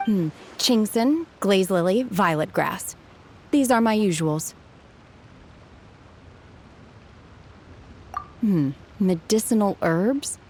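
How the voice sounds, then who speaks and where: A woman playing an aloof, blunt young mountain recluse in a video game, calm, unhurried and deadpan. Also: mid-range voice, slight background noise. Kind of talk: video game character dialogue.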